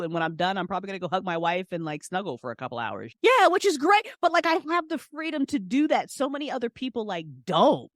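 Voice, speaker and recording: A woman in her forties chatting candidly and informally as an interview guest, with expressive, slangy delivery. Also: on the low side, no echo, studio quality. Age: 40s. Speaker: podcast guest, audio drama creator and writer. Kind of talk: casual, candid interview chat.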